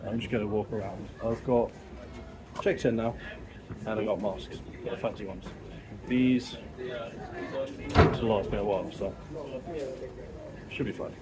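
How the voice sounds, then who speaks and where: A young man with a slightly croaky voice, chatting casually as he films himself while travelling. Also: lowish voice, fairly even intonation, unhurried, pauses often, over loud music. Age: young adult. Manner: rambling, chatty, filming himself on the go. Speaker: travel vlogger. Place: airport terminal, then a train carriage. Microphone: phone held at arm's length. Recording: loud background noise from the phone mic.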